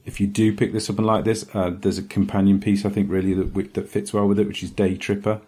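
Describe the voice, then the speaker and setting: Man, very low pitch, dark relaxed tone, podcast host, casual recommendation style, enthusiastic. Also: fast, husky. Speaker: podcast host reviewing comics. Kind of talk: casual solo book recommendation.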